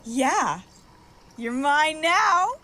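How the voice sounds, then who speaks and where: A wicked female mirror spirit, played by an actress in a horror audio drama, teasing and gloating in a bright, sharp, highish voice. The delivery swings widely and playfully, with a commanding edge. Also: expressive, extremely slow, exaggerated voice. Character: taunting, playful evil mirror spirit woman possessing a body.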